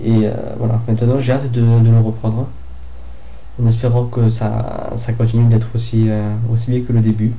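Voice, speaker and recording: A young man from France talks through a casual book review, stuffy from a head cold. His voice is very dark and mellow and stays flat in pitch. He hesitates often, filling gaps and restarting his sentences. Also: moderate pace, a little hiss or hum. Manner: hesitant, lots of fillers and restarts. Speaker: book vlogger.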